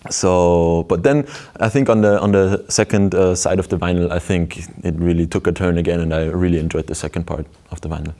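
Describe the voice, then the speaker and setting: Young male interviewee, very deep voice, chatty and rambling. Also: steady tone, fairly quick, few pauses, dark tone. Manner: chatty, with many fillers and restarts. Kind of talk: casual interview answer.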